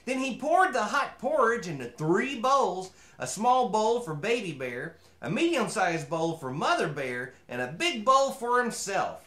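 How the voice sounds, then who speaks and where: A man's voice: high-pitched, very animated, a little slow, few pauses, pushed and bright, roomy sound, full character voice. A man voicing a chatty, opinionated mule who reads a storybook with folksy, disapproving asides. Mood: storytelling. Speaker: host reading a storybook. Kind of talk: animated storybook reading with comic commentary.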